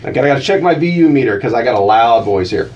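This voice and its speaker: A man joking with the host on a radio show, teasing and animated, reading out mock slogans. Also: medium pitch, fast talker, recorded from a distance, lo-fi sound. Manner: teasing, animated, breaks into chanted cheers. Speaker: guest co-host. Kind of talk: playful radio banter.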